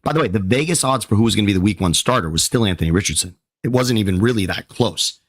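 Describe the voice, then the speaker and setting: A man co-hosting a sports talk show, excitable and emphatic, hyping up what he sees while straining his voice. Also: fairly low pitch, fast, no room sound, clean studio sound. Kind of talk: excited sports analysis chat.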